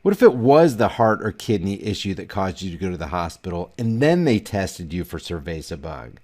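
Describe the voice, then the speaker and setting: A man's voice: low-pitched, big pitch swings, moderate pace, a little mellow. A man delivering sarcastic, emphatic political commentary.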